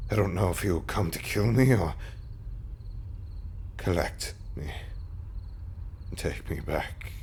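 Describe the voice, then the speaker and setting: A man playing a gentle, devoted undead husband in an intimate audio roleplay, speaking in a halting, stammering, softly formal way. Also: a bass voice, creaky, a little hiss or hum, heavily acted. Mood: fearful, grave.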